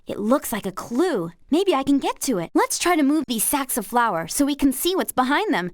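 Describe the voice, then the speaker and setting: An actress voicing a cheerful, encouraging young woman horse rider in a video game, with an American accent and bubbly, clear diction. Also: sits high, sing-song, normal speed, no room sound, studio quality. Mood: helpful, curious. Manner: bubbly, upbeat, clearly enunciated. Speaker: game character guiding the player. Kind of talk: scripted video game voice lines.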